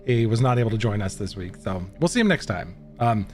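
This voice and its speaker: A man's voice: lowish voice, big pitch swings, brisk, faint music behind. A man running a tabletop game stream, chatting casually and rambling with laughs. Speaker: game master. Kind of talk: casual livestream chat.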